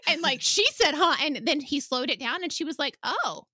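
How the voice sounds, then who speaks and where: A woman's voice: high voice, moderate pace, pushed and bright, dry recording, studio quality. A woman hosting a casual podcast interview, chatty and enthusiastic in her way of talking. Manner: chatty, enthusiastic, laughs often. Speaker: podcast host.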